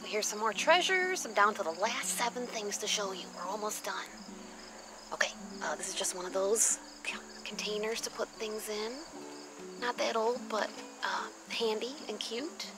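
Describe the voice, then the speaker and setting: A woman vlogger gives a casual show-and-tell, speaking at a middling pitch. Her talk is informal and gushing, full of exclamations. She is recorded outdoors, with clear music behind her. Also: moderate pace. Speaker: vlogger showing thrift finds. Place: outdoors on a patio or deck at a table.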